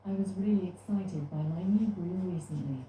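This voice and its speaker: A synthetic female voice assistant answering in an even, scripted delivery, heard from a speaker across the room. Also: fairly low pitch, normal speed, roomy sound, recorded from a distance, slight background noise, low-quality recording.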